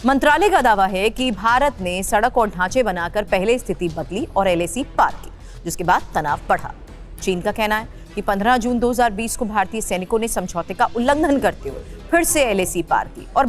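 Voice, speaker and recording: A woman presenting a scripted news report. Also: medium pitch.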